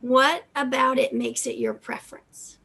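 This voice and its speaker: A middle-aged woman hosting an interview over a video call, asking questions casually with hesitant pauses. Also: high voice, very animated, pushed and bright, husky. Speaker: interviewer, library host. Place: indoor room at home, on a video call.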